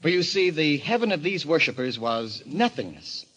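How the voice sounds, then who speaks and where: An obsessed, arrogant explorer in an old radio mystery. His delivery is grandiose and theatrical, with a forceful tone and highly animated pitch. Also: normal speed, husky, exaggerated voice, rough recording. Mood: brooding, fervent. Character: obsessed, arrogant male explorer enthralled by a cult of destruction.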